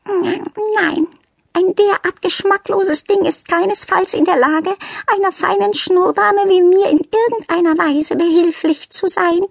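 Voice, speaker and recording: A woman's voice: very high voice, fairly even intonation, a little slow, vocal fry, no echo, pitched above the performer's own voice, full character voice. A woman voicing a haughty, fussy, self-pitying noble lady cat in a children's audiobook, speaking in a pompous, affected way. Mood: disdainful. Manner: pompous, affected, stilted genteel phrasing.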